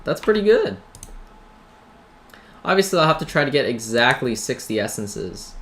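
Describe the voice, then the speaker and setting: A man's voice: mid-range voice, sing-song, normal speed, fairly bright tone. A man hosting a gaming video with casual, rambling commentary and tongue-in-cheek, self-deprecating asides. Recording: noisy home mic, keyboard clatter. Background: typing and background noise.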